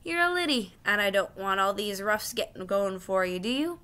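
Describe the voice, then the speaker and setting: A woman reading a children's storybook, voicing a rough, plain-spoken but kindly male cabby with a Cockney accent. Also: medium pitch, normal speed, pushed and bright. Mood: coaxing.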